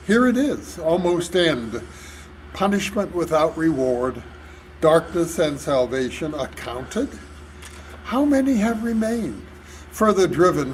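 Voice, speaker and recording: An older man giving a solemn, deliberate, declamatory reading of poetry at a live club performance. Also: a little high. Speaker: reader at a club reader's theater. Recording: noisy live hall recording.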